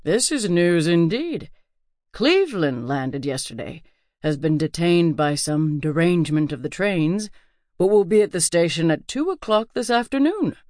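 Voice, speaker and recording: A dry, gently mocking father, put on by a woman reading an audiobook. His lowered voice swings up and down as he speaks, in a close, dry recording with no room sound. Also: sing-song, unhurried, no room sound. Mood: dry, teasing. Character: stern teasing middle-aged father.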